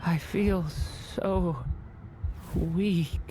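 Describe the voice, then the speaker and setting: A woman voicing a gentle, earnest, hesitant young dragon boy in a video game. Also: mid-range voice, expressive, slow pace, music in the background. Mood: weak, struggling. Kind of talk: video game cutscene acting.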